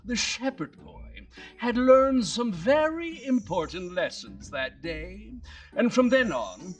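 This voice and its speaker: A woman hosting and reading children's bedtime stories in an animated, theatrical way with dramatic pauses. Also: fairly low pitch, recorded from a distance. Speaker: children's bedtime storyteller and host. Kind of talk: animated scripted storytelling for children.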